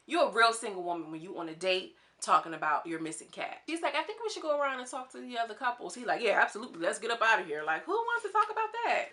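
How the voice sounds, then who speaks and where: A woman from Houston with a twang, talking fast and chatting casually as she reviews a reality dating show, acting out quotes in a higher voice. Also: sing-song, bright, forceful tone. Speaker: YouTube reality TV commentator. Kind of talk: casual commentary monologue.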